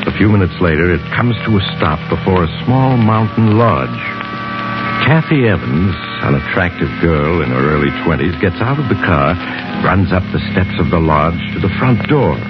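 An actor playing a suave, sinister mysterious storyteller, measured and inviting, narrating 1950s radio horror. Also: male voice, sits low, expressive. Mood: measured suspense. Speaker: host and narrator. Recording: dull 1950s radio recording. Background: loud music.

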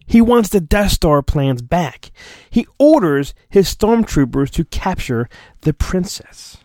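A man's voice: high voice, very animated, takes it slowly, warm tone, no room sound. A man hosting a podcast, giving a mock-dramatic storybook reading with theatrical, self-mocking asides. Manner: theatrical, self-mocking, often breaks off to mutter. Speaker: podcast host and reader.

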